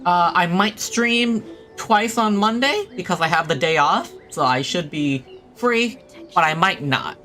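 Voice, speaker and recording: A game streamer chatting casually to viewers, rambling and low-key with a self-deprecating touch. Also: animated, unhurried, sharp tone, faint music behind. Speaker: livestreamer playing a video game. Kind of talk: casual livestream chat and game commentary.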